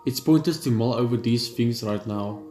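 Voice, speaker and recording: A man reading a visual novel aloud in first person, steady and reflective, with small slips and restarts. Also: mid-range voice, normal speed. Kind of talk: first-person story narration. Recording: roomy with game music. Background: game music.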